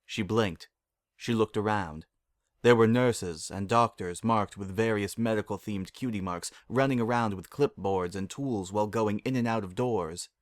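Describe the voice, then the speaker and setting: Male narrator for dramatic fiction reading, fairly deep register, dry studio-like sound. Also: normal speed, dry recording.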